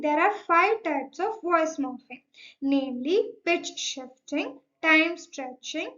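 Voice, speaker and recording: A young woman with a high-pitched voice delivers a formal student presentation. She explains in a scripted, academic way, often opening sentences with "so". The recording is dull and slightly muffled.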